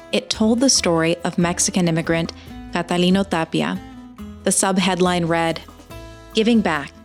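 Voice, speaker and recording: A woman presenting a prepared story in a measured, read-aloud delivery. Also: lowish voice. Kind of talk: scripted storytelling narration. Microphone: video call.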